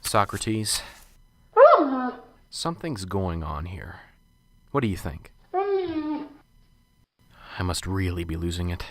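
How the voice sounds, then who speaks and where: A man's voice: low-pitched, wildly swooping pitch, slow, gravelly, long pauses, heavily acted. A man playing a nervous, unsure professor in an amateur radio drama, muttering anxiously to himself and stammering. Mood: puzzled.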